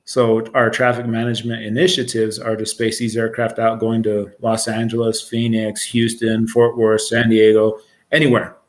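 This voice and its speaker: A man's voice: on the low side, completely flat delivery, moderate pace. A man in his forties with an American accent, explaining his work as a supervisor in an informal interview, plain-spoken and matter-of-fact. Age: 40s. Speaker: air traffic control supervisor.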